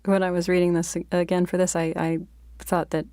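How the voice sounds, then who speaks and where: Female podcast host: soft-edged mellow tone, slightly low pitch, thoughtful literary interviewing. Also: flat delivery, fairly quick, no room sound, clean studio sound. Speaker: podcast host and fiction editor.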